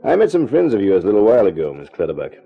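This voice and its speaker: An actor playing a wisecracking, tough private detective in a 1950s radio drama, with a dry, laconic delivery. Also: male voice, on the low side, wildly swooping pitch, racing, gravelly, husky, heavily acted. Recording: lo-fi 1950s radio recording with faint music.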